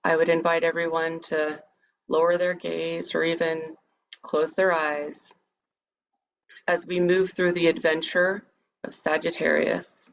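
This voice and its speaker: A woman leading a guided meditation in a soothing, measured, invitational manner. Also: low-pitched, flat delivery, vocal fry. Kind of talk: guided meditation, part improvised, part read lecture. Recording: dull, lo-fi sound with background noise.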